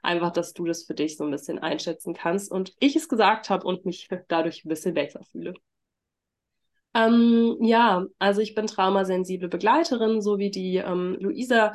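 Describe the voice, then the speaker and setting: A woman with a congested voice from a heavy cold, speaking gently and informally as a yoga teacher leading a workshop. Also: mid-range voice, normal speed. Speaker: trauma-sensitive yoga teacher and facilitator. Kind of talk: workshop introduction and guided mindfulness.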